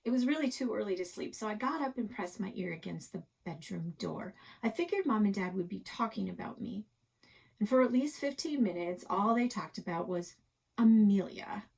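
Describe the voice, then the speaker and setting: A woman narrating a first-person children's novel expressively, with dramatic pauses and hushed asides. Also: medium pitch, creaky, husky, low-quality recording. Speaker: library story reader.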